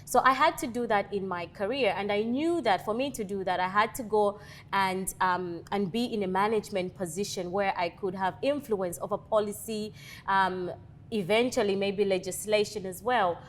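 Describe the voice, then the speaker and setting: A woman's voice: medium pitch, normal speed, a little hiss or hum. A woman in her thirties from Zambia, speaking, talks as an interview guest and leadership coach with earnest, motivational conviction. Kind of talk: interview conversation.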